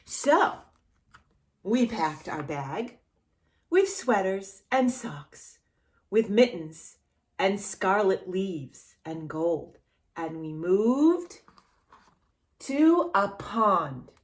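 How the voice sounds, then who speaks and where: Very animated, slow-paced woman storyteller for kids. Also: medium pitch. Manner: animated, addresses the young listener with questions. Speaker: children's storytime host reading a picture book aloud. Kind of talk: read-aloud storytime for young children.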